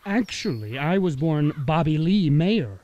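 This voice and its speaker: Actor as male small-town realtor, slow, rather high and mellow, folksy, accommodating, radio play. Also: animated, highs cut off. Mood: affable. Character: affable small-town mayor and realtor, eager salesman.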